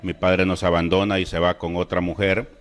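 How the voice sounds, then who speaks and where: A middle-aged man from El Salvador with a Salvadoran Spanish accent, slowly and solemnly telling his personal religious testimony. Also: low voice, level tone. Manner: slow, deliberate, solemn storytelling with emotional rises. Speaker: testimony speaker.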